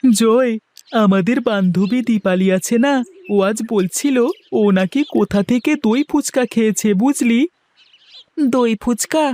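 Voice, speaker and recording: Woman doing slow-talking cartoon village child. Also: fairly high pitch, mellow, lightly acted. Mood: excited, curious. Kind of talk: Bengali children's cartoon dubbing.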